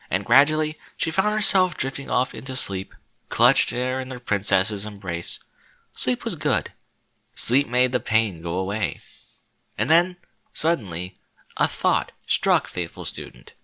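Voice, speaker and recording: A man narrating a story in a slow, solemn, dramatic way with deliberate pauses. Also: mid-range voice, sing-song, bright, forceful tone, no room sound.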